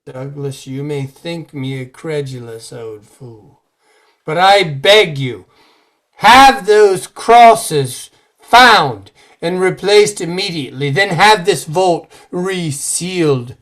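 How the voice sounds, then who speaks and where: A man's voice: a little high, expressive, a bit bright. A man playing a grave old believer. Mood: pleading. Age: older. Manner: grave, slow and warning. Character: solemn old man who fears the legend. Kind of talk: old time radio drama acting.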